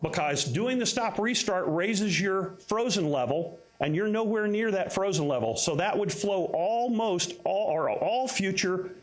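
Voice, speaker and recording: Union rep guy explaining stuff at a council meeting, firm and fluent, voice a bit on the high side. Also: lively intonation, a little slow, hardly any pauses. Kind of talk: explanatory testimony at a public meeting.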